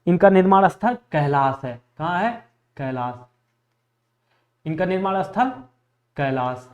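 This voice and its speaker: Male exam teacher, high-pitched, repetitive question-and-answer drilling in a lecture style. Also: animated, unhurried, darkish tone, highs cut off. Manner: repetitive, asks questions and answers them himself. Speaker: history teacher for exam preparation. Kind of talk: classroom lecture drilling facts for exams.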